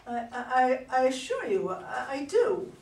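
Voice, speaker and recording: A woman's voice: high voice, big pitch swings, measured pace, darkish tone, exaggerated voice. A woman playing a smug, ambitious younger female politician in a play reading, self-assured and calculating. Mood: flustered. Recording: echoey room, distant, noisy.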